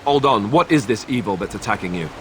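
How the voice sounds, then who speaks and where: An actor playing an affable, self-effacing young warrior in a fantasy game, with a wry, casual manner. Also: male voice, on the low side, animated, fast talker, noisy background, natural voice. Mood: surprised, questioning. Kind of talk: fantasy video game acting.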